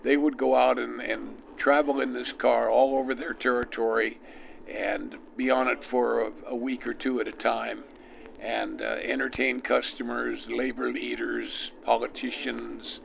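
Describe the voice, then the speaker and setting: A man with a heavily creaky, gravelly voice explains things in measured phrases with pauses. The sound is thin and band-limited, like a 1940s radio broadcast. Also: mid-range voice, level tone, slight background noise. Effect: vintage 1940s radio filter. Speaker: private railcar host, interview guest. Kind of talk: unscripted explanatory interview talk. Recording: tinny narrow-band old radio sound.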